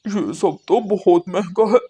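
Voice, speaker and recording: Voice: medium pitch, a little slow, warm tone, breathy edge. A performer voicing a stingy, bossy male crow, a husband and father, in a moral-story cartoon, with a curt manner. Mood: businesslike.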